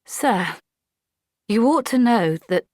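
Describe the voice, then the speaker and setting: An earnest young woman soldier answers her officer firmly and dutifully as a woman reads her aloud. The voice sits raised and bright. A close, dry storybook reading. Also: a little high, animated, slow, no room sound, voice pushed up. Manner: firm, apologetic, dutiful.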